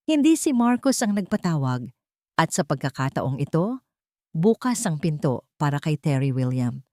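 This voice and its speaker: A woman's voice: low voice, dark tone, no room sound, clean studio sound. A woman narrator reading a scripted dramatic story.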